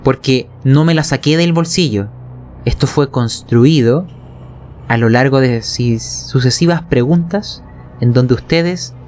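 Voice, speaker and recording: A man's voice: medium pitch, a little mellow, slight background noise. A man with a Chilean accent explaining narration technique as a game master, colloquially, often checking in with his listeners. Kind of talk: explanatory conversation on tabletop role-playing narration.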